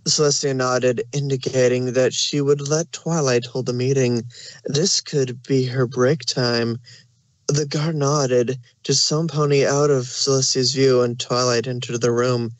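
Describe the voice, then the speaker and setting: A man's voice: mid-range voice, steady tone, unhurried, no room sound. Dry, close-sounding male story narrator. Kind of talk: scripted fan fiction narration.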